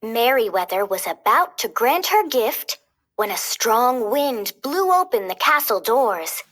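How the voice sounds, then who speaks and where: A woman reading a children's storybook aloud as a storyteller, expressive and clearly paced. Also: a little high, measured pace.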